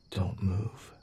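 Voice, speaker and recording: A man playing a flirty, self-assured vampire lord in an ASMR roleplay, speaking softly and formally in a breathy, often whispered voice with a teasing manner. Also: a little hiss or hum. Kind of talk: ASMR roleplay, intimate dramatic monologue.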